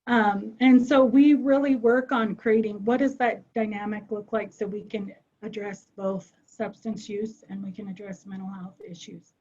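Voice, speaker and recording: A woman's voice: medium pitch, fairly even intonation, normal speed, few pauses, a little mellow. A woman presenting on a webinar in an earnest way, with frequent "um" fillers as she thinks aloud. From United States. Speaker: behavioral health clinician presenting. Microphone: video call. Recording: muffled webinar audio.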